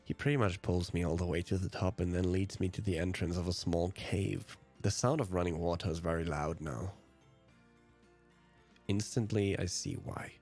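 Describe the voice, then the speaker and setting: German-native man, let's play narrator, very deep, husky, reading visual novel text, faint game music. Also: fairly even intonation, normal speed, darkish tone.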